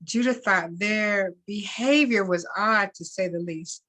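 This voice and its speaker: A woman reading fiction aloud as a host, expressively and deliberately, sometimes repeating words. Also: mid-range voice, a bit bright. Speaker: reader and event host.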